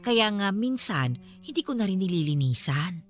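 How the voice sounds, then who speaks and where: A woman plays an elderly woman caretaker in a Filipino radio drama. She is modest, folksy and chatty, with a pitch that swings about as she exclaims. Faint music runs underneath. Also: big pitch swings, normal speed, natural voice. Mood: plain, obliging. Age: older.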